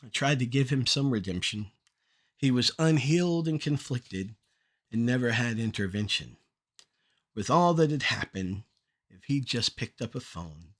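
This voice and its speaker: A man's voice: mid-range voice, lively intonation, measured pace. Middle-aged man reciting spoken-word poetry.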